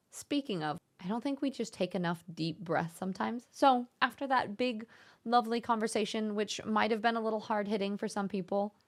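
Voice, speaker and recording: A young woman hosting a casual live-stream chat, speaking in a gentle, encouraging and thoughtful way. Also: a little high. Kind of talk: casual live-stream chat, reading viewer messages aloud.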